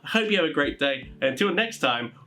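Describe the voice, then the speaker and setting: Higher-pitched man in informal video chat. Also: animated, brisk, sharp tone. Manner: rambling, conversational, with many filler phrases. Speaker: YouTube host giving tabletop game master advice. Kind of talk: informal advice talk to viewers.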